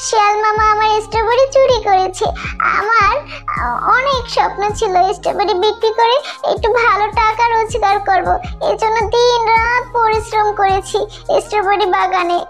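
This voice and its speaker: A woman's voice: very high voice, steady tone, normal speed. A woman voicing a hot-tempered, hardworking mother bird in a children's cartoon, scolding and plaintive. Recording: music behind the voice.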